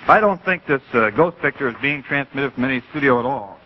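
An actor playing a serious, baffled chief engineer in 1950s live TV drama, explaining things emphatically. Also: male voice, mid-range voice, animated, fairly quick, natural voice. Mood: earnest, baffled. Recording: dull 1950s TV audio, faint noise.

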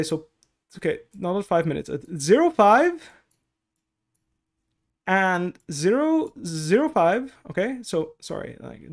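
A young man whose first language is Dutch, coaching on a casual stream from a headset at his desk, explaining step by step with many okays. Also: high-pitched. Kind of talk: casual stream talk, answering chat. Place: small room indoors at a desk.

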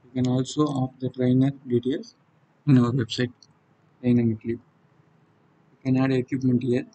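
A man with a South Indian accent and a husky voice, giving an unscripted software walkthrough in halting, non-fluent phrases. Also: medium pitch, level tone, rough recording. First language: Tamil. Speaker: software demonstrator. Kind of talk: unscripted screen-recorded tutorial walkthrough.

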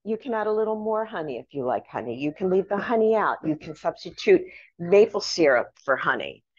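A woman's voice: fairly low pitch, sing-song, fairly quick, darkish tone, husky. A woman hosting a casual cooking demonstration, chatty and instructive as she explains each step. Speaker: cooking show host. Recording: echoey room, dull lo-fi sound.

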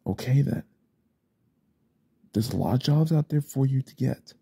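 A man performing an ASMR roleplay as a stressed single father, grumbling under his breath and then yelling in anger. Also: medium pitch, animated, measured pace, creaky, slightly rough, no echo. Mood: stern. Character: stressed single father, short-tempered, drinking.

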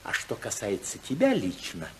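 A man's voice: lowish voice, wildly swooping pitch, a little hiss or hum. An actor playing a domineering, ruthless older tycoon father in 1950s radio drama, speaking in an imperious, oratorical manner. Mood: threatening. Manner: imperious, oratorical, slow and emphatic.

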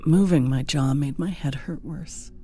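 Frightened captive village girl, performed by a female narrator, low and breathy, slow hushed horror storytelling. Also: faint music behind. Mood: fearful, hushed. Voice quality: breathy, often sinking to a whisper. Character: frightened young village wife held captive, narrating her ordeal. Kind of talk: dramatic audiobook horror narration.

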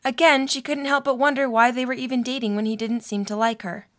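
Young woman narrator, fairly high voice. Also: fairly even intonation, a little fast, a bit bright. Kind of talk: scripted story narration.

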